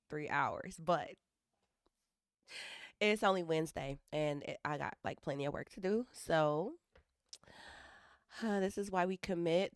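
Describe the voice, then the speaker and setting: A young woman with a low, hoarse voice talks on a live stream. She rambles and stops herself mid-thought while she plans her day. Her voice is smooth and close, with a dry, dead sound. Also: no echo. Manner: rambling, self-interrupting, thinking aloud. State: hoarse from allergies. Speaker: livestreaming developer. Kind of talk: casual live-stream chat.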